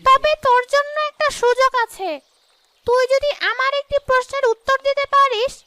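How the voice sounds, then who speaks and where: A very high, piercing cartoon witch, played by a woman. She is a gleeful man-eater who haunts a forest, taunting her prey with sharp, bright menace. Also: fairly even intonation, normal speed, dry recording, soft background music. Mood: cackling, threatening. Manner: taunting, cackling villain. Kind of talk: cartoon horror story voice acting.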